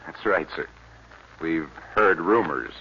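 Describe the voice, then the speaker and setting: A man's voice: rumbling low, slow, breathy edge, full character voice. A man playing a formal, persistent American government official in a 1950s radio drama, politely pressing with questions. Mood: insistent. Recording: dull, hissy 1950s radio recording.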